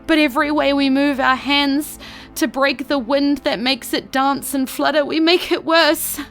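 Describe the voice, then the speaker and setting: A high female voice delivers dramatic scripted narration very slowly, with an ominous, measured feel. Music plays behind her as she tells the story. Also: fairly even intonation, fairly bright tone.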